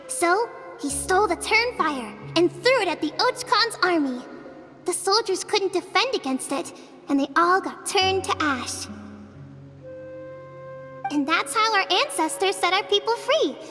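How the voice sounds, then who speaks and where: A very high, childlike girl of a tribe telling an old legend in an earnest, matter-of-fact way. The voice sits in a long reverb with clear music underneath, like a game cutscene. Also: expressive, a little slow, bright, forceful tone, full character voice. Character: young girl of a tribe, storyteller. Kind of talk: game dialogue voice acting.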